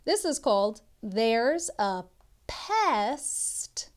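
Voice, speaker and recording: A woman's voice: fairly high pitch, big pitch swings, very slow. A woman tutor reading a picture book aloud to children, expressively and instructively, drawing out some words.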